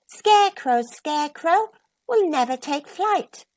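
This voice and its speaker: A woman's voice: high-pitched, expressive, slow, pitched above the performer's own voice. A woman voicing mocking farm birds in a taunting sing-song chant for a storybook.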